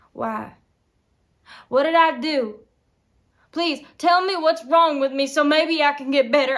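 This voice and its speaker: Woman acting a creaky-voiced, tormented young farm wife. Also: medium pitch, a little mellow. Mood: anguished, bitter. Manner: intense, swinging from whispers to anguished outbursts. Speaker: acting student. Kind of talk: dramatic film monologue performance.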